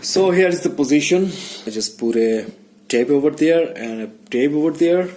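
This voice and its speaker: A man giving a casual hands-on tutorial, speaking haltingly and muttering to himself as he works indoors. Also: a little high, expressive, darkish tone, low-quality recording. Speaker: DIY vlogger. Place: small indoor room. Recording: background noise, room ambience.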